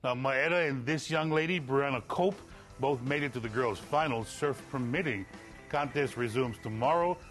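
A middle-aged man delivers a scripted sports report from a TV news studio. His voice sits at a medium pitch, with faint music around it. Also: normal speed, fairly bright tone. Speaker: TV sports anchor.

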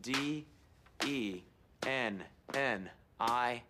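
An actor playing a smug, vain playboy who lectures methodically and pompously, as in a sitcom presentation. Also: male voice, medium pitch, extremely slow, background noise. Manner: pompous, methodical, self-satisfied.

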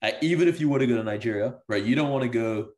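A man co-hosting a casual podcast over a video call, talking in a relaxed, slangy way. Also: medium pitch, fast. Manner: relaxed, slangy, conversational, says "bro" and "like" often. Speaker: podcast co-host. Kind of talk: casual group discussion.

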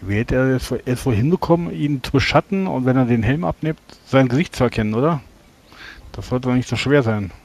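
A man's voice: mid-range voice, a little fast, a little mellow. A man playing a character in a tabletop role-playing game, often pressing with questions. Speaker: player.

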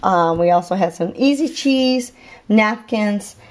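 A woman's voice: medium pitch, expressive, takes it slowly. A middle-aged woman narrating a grocery haul at home in her kitchen, casual and chatty with lots of ums and uhs, filming on a handheld camera. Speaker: vlogger showing a grocery haul. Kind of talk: casual show-and-tell narration. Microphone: handheld camera filming the counter.

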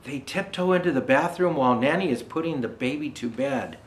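Casting: older man as children's storyteller, very lively intonation, slightly roomy echo. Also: medium pitch, a little fast, slight background noise. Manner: gentle explanatory asides to young viewers. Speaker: storyteller dressed as Santa Claus. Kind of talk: reading a children's picture book aloud. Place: indoor room with Christmas decor.

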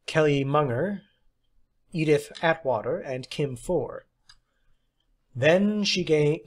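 A man reading a short story aloud as scripted audiobook narration. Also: mid-range voice. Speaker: audiobook reader.

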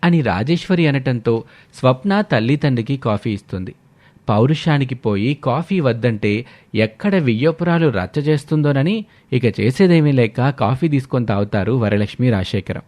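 A man's voice: mid-range voice, animated, moderate pace, darkish tone. A man reading a scripted story as a storyteller.

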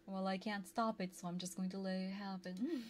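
A soft-spoken, playful woman chatting casually with viewers on an ASMR livestream, close to a binaural microphone. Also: mid-range voice, fairly even intonation, moderate pace. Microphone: close binaural ear microphone. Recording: clear background noise.

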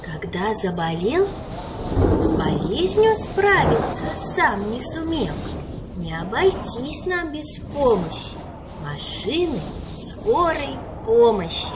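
A woman's voice: a little high, sing-song, slow pace, very noisy. A woman reading scripted rhyming narration for small children, as in an educational cartoon. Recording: loud music under the voice. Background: music.

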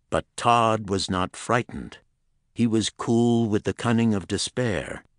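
Deep-voiced man narrating a thriller. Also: no room sound, studio quality. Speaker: audiobook narrator. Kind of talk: dramatic scripted pulp thriller narration.

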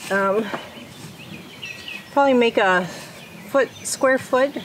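A woman's voice: fairly high pitch, takes it slowly. A middle-aged woman hosting a homestead vlog, chatting casually while working in an outdoor garden. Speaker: homestead vlogger. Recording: outdoor noise behind.

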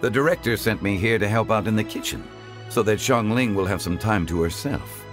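A man voicing a calm, dignified male scholar in a game, speaking in a measured, formal way. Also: fairly low pitch, music in the background, lightly acted. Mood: composed.

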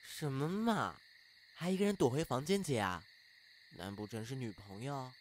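A man's voice: medium pitch, animated, normal speed, slight background noise, close to an everyday voice. A man playing a flustered, bashful, grumbling young housemate in an audio drama. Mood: nosy muttering. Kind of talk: Chinese fan audio drama acting.

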